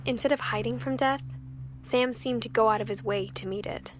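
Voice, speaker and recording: A woman's voice: fairly high pitch, normal speed, background noise, lightly acted. A woman as a reflective young American narrator. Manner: wry, musing. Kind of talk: reflective first-person game narration.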